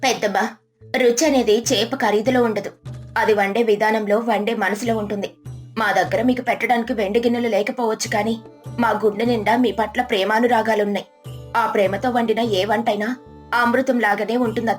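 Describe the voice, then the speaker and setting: A gentle young village wife, voiced by a woman storyteller, humble and respectful. The voice is fairly high, with music beneath it. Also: fairly even intonation, fairly quick, lightly acted. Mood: earnest, gentle.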